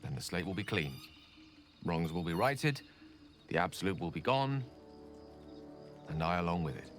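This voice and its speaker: A weary wizard from a fantasy video game. His voice sits on the low side, with formal, articulate phrasing and a reflective, fatalistic air. Faint music plays underneath. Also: sing-song, normal speed. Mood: melancholy, resigned. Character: weary, eloquent male wizard facing his death. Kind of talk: video game dialogue acting.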